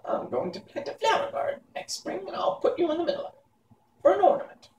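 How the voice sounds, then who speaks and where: A man voicing a cruel, scheming old witch woman in a high, breathy, whispery voice, cold and menacing. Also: very animated, brisk, darkish tone, roomy sound, recorded from a distance, muffled recording, a little hiss or hum. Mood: grim menace.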